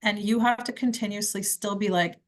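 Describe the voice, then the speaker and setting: A woman's voice: mid-range voice, fairly even intonation, a little fast. A middle-aged woman from Quebec, a criminal defence lawyer, giving direct, emphatic advice in an interview. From Quebec, Canada. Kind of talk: conversational interview answers.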